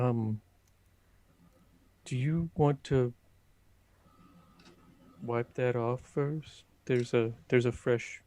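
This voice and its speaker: A man's voice: medium pitch, dark tone, dry recording. A man playing a nervous, squeamish and pedantic adventurer in a tabletop game, stammering and hesitating as he talks. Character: nervous, squeamish, pedantic male adventurer who dreads tunnels.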